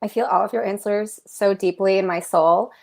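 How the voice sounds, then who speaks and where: A woman from Central Florida joins an author panel over a video call. She is animated and upbeat, with a middle-range voice that jumps higher when she gets excited. She laughs often. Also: normal speed, gravelly. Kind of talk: casual panel discussion.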